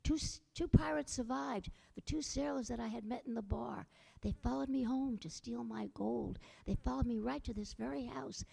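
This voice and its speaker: A woman voicing a headless male skeleton ghost with a low, slow, drawn-out spooky voice, using puns and speaking solemnly. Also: gravelly, warm tone, husky, exaggerated voice, low-quality recording. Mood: mournful.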